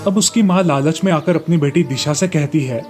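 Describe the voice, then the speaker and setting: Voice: medium pitch, fairly quick, over music. A narrator reading a scripted moral story in storybook style.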